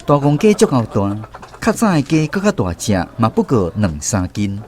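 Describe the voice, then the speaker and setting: A man's voice: medium pitch, wildly swooping pitch, normal speed, darkish tone. A man with a Taiwanese accent narrating a scripted first-person story as a radio drama storyteller.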